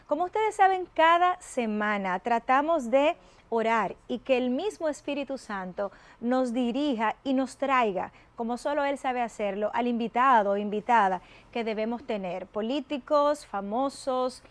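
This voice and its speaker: A woman's voice: on the high side, normal speed. Effusive woman hosting faith show. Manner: effusive, reverent, lingering on pious phrases. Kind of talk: warm devotional interview.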